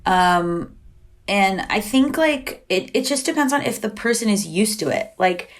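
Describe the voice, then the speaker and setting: A woman's voice: medium pitch, expressive, a little slow. A woman being interviewed, talking candidly and chattily, filling her speech with "like" and acting out quoted back-and-forths with frequent laughs. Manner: chatty, heavy use of "like", acts out quoted exchanges. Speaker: podcast guest.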